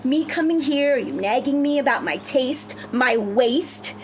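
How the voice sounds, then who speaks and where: A high, swooping voice for a brash, proud, indignant plus-sized lady , acted out by a woman at a script read. She is theatrical and emphatic, with a sharp tongue, over faint background noise. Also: expressive, unhurried. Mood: scornful. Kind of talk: screenplay table read.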